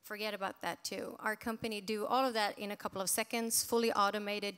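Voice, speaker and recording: A woman CEO giving a punchy, persuasive startup pitch on a panel. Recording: echoey conference hall.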